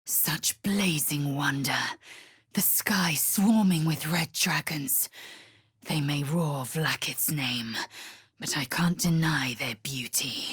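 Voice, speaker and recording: A woman playing a fierce, proud alien warrior in a video game, speaking in a haughty, clipped, formal manner with a husky edge. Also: deep voice, slow pace, no room sound, studio quality, heavily acted. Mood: hushed, admiring. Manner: imperious, clipped, formal phrasing. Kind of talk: video game character acting.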